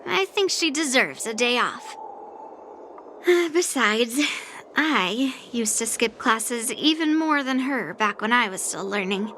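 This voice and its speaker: High-pitched, breezy young swordswoman. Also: lively intonation, slow pace, pushed and bright, slight background noise, exaggerated voice. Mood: breezy, friendly. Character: cheerful, confident young female swordswoman, devoted to swords. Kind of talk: video game story dialogue acting. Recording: background music behind the voice.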